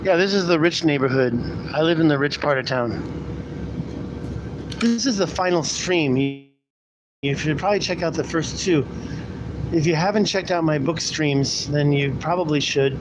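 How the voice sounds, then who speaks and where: A man's voice: on the high side, moderate pace, pauses often. Rambling man live-streaming from a bus. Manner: rambling, talks to viewers while riding a bus. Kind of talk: casual live-stream chat. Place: city bus. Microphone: handheld camera mic, speaking through a pulled-down mask. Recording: bus noise behind. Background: bus engine and traffic.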